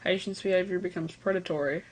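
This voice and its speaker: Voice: medium pitch, steady tone, quick pace, poor audio. A casual reaction video host with a mid-range voice, reading text aloud and commenting on it in an offhand way. There is some faint noise and clicking in the recording. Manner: casual asides between read-out passages.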